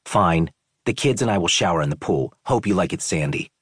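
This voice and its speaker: A man's voice: deep voice, expressive, a little fast, slightly rough, no echo, studio quality. A man doing a blustering angry tourist. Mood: demanding. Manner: blustering, indignant. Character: red-faced angry male tourist, demanding and bullying.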